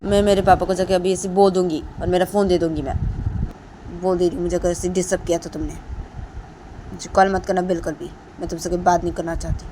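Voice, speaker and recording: A woman voicing a furious young woman who angrily scolds a repeated caller in a shrill, shouting voice. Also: steady tone, quick pace, slight background noise, lightly acted, poor audio. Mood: scolding.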